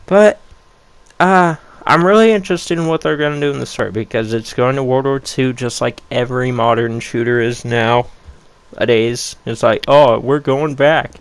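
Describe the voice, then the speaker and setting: Young man, gaming vlog, blunt and sweary, rambling, slightly worn voice, mid pitch, dry room. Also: normal speed, smooth, no room sound. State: losing his voice. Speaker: gaming YouTuber. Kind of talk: casual game ranking vlog.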